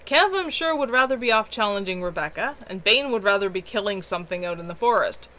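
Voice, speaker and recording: A woman's voice: mid-range voice, animated, brisk, pushed and bright. A woman voicing a weary, exasperated young coven leader in a novel reading, emphatic and sarcastic.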